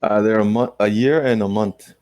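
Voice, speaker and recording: A man in his late twenties, a guest investor telling stories in a casual interview with quick rueful asides. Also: on the low side, fairly even intonation, darkish tone, no echo. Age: 20s. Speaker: guest real estate investor.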